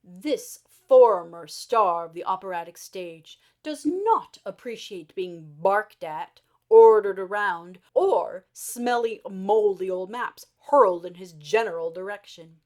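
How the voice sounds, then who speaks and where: A woman storyteller reading a children's story aloud expressively, with theatrical emphasis and dramatic pauses. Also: mid-range voice, animated, darkish tone.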